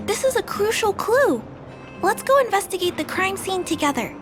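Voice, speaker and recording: A woman voicing a gentle, polite little girl in a video game, earnest and careful as she plays detective. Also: very high voice, big pitch swings, normal speed, over music. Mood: determined.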